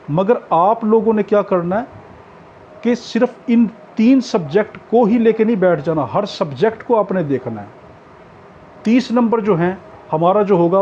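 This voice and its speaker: High-voiced Pakistani man lecturing, muffled recording. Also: warm tone. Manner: instructive, repeats key points for emphasis. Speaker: law exam tutor. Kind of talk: informal lecture to students. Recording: dull lo-fi audio with background noise.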